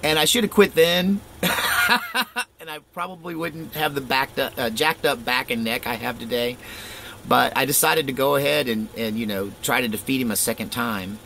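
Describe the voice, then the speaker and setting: A middle-aged man casually telling a rambling personal story, animated and full of fillers and chuckles. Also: mid-range voice, moderate pace, slight background noise.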